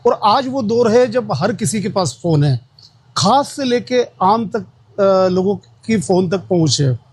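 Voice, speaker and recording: High-voiced, husky man giving a public appeal. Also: animated, a little slow. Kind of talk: public awareness appeal speaking to camera.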